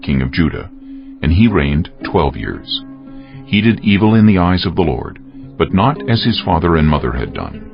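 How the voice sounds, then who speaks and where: A man's voice: extremely low pitch, vocal fry. A man narrating scripture as a solemn, scripted dramatized reading. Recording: muffled audio over faint music.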